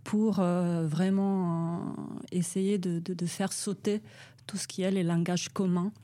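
A woman's voice: fairly low pitch, level tone, a little slow, mellow. A woman, a poet and panel guest, speaking French in a reflective, hesitant way. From Italy. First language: Italian. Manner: reflective, thoughtful, with frequent hesitations. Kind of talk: panel discussion.

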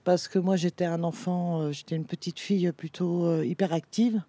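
Halting French-born woman in her fifties. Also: on the low side, fairly even intonation, dark tone, dry recording. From France. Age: 50s. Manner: hesitant, halting, many fillers. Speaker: guest. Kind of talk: radio discussion.

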